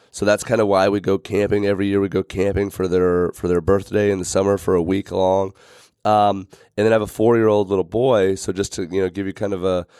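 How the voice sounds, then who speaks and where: Low, dry-voiced podcast host, slightly raspy. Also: male voice, a little mellow, no room sound. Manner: rambling, many fillers and restarts. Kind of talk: casual podcast monologue.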